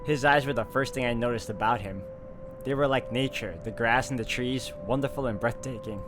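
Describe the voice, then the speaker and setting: Male gameplay host: mid-pitched, expressive read-aloud of visual novel prose with asides, clearly audible music bed. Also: fairly quick.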